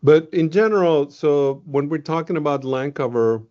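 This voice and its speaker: A man's voice: a little high, normal speed, a little mellow. A man from Venezuela, a scientist presenting results in a casual webinar, talking loosely with many ums and restarts. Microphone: video call. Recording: muffled video-call audio.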